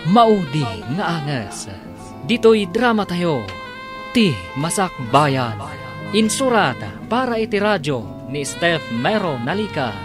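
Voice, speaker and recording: A man's voice: fairly high pitch, very animated, slow. A man with an Ilocano Filipino accent reading scripted credits as a dramatic radio drama announcer. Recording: old radio recording, music underneath. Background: prominent theme music.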